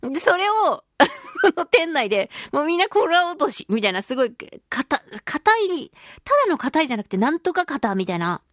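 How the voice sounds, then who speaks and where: A woman hosting a radio show, chatting casually and reading listener mail in a playful, expressive way. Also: high-pitched, normal speed, no room sound, studio quality. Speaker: radio show host, voice actress.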